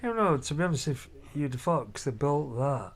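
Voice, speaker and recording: A man's voice: fairly high pitch, very animated, a little fast, slightly rough. A man chatting casually as a family vlog host, often tagging questions onto his remarks. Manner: chatty, seeking agreement with tag questions. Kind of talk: casual chat reviewing a family outing. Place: hotel room.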